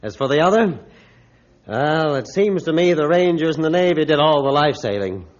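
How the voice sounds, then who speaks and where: A man's voice: fairly high pitch, animated, moderate pace, lightly acted. An actor playing a stern, steadfast male ship captain in a 1950s radio adventure drama. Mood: calm, gracious. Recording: dull lo-fi 1950s radio recording.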